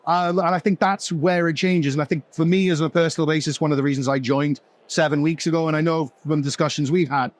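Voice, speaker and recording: A man leading a business panel, asking long questions in a rapid flow. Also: on the high side, steady tone, dry recording. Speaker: company executive leading the panel. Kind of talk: panel conversation.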